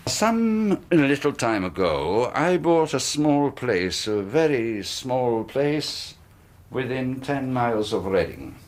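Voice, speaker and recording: An actor playing a sinister, secretive middle-aged colonel, talking smoothly and insistently in a radio drama. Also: male voice, medium pitch, sing-song, slow. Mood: persuasive. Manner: smooth, insistent, quietly threatening. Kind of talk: 1980s radio drama acting.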